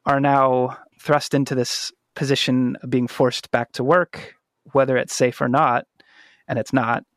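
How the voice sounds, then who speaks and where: A man's voice: mid-range voice, little pitch movement, unhurried, no echo. Male podcast host, mid-range voice, conversational and reflective, with a close, dry recording. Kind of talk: casual interview conversation.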